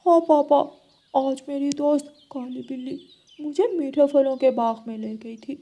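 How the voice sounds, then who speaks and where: A woman's voice: sits high, a little slow, mellow. A woman voicing a trusting, earnest young male crow in a children's cartoon. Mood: cheerful, affectionate. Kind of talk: children's animal cartoon voice acting.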